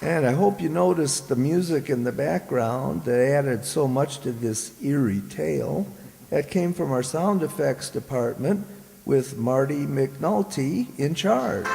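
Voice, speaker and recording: A man announcing the credits of an amateur radio drama, in a measured, playfully formal way. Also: on the high side, lively intonation, gravelly, mellow, some chatter behind. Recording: echoey hall with background noise.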